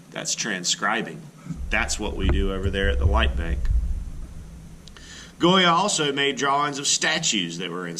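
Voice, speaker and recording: An art teacher reads a children's book aloud in a bright, crisp voice. He breaks in with his own asides for the class, and his pitch swings widely as he reads. A faint hiss sits under the recording. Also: poor audio. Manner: expressive storybook reading with teacherly asides.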